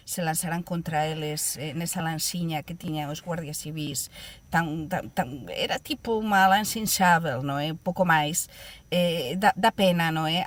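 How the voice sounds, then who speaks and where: A woman from Galicia with a Galician accent commenting on a panel, earnest and hesitant with many fillers. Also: deep voice. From Galicia, Spain. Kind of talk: studio panel chat.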